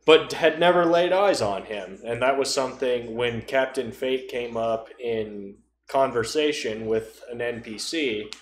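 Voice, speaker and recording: A man's voice: medium pitch, unhurried, hardly any pauses, echoey room, slight background noise. A man in casual podcast conversation about tabletop role-playing, with a dryly joking, conversational way of talking. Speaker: podcast speaker.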